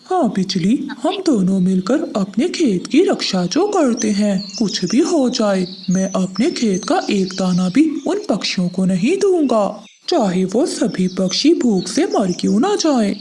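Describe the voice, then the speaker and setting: Cartoon villain crow, male, acted by a performer: selfish, threatening, lower than the other birds, faint noise. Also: very animated, a little slow, few pauses, dark tone. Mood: smug. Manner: hard, boastful, threatening. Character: stingy, menacing male crow guarding his field. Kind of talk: animated children's moral story dialogue.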